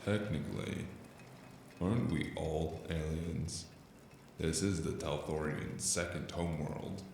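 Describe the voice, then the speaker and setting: A very low, slow male voice acting as a weary noir drifter in an audio drama. He is wry and deadpan, with a gravelly creak, and sounds slightly echoey. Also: a little hiss or hum, exaggerated voice. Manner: deadpan, dry, unhurried. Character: weary, wry noir stranger narrating his investigation. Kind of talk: cyberpunk noir narration and dialogue.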